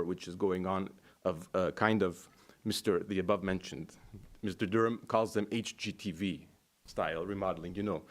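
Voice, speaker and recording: Deep-voiced man giving halting public comment. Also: a little mellow. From Los Angeles, USA. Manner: halting, digressive, formal address. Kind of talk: public comment at a city meeting.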